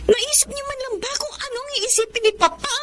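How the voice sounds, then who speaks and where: A woman's voice: very high-pitched, a little fast, background noise. A woman playing an indignant, reproachful young adult daughter in a Filipino radio drama.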